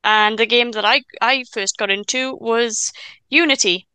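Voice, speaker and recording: A woman's voice: fairly high pitch, pushed and bright, clear, resonant tone, dry recording. A Welsh-speaking woman in her twenties, a podcast guest chatting casually with frequent stammers and restarts from a stutter. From Wales. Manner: chatty, quick asides and laughs. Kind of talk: casual chat.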